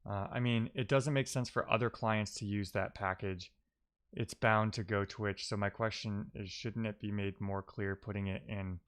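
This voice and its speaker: A man's voice: fairly low pitch, fairly even intonation, moderate pace, a bit bright, smooth. A man giving casual, think-aloud commentary as a live-coding streamer, often muttering to himself and trailing off.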